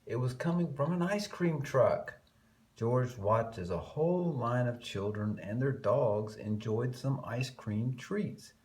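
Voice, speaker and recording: A man reading a picture book aloud to young children in an expressive way, stretching key words and adding chatty, murmured asides. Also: medium pitch, slow pace.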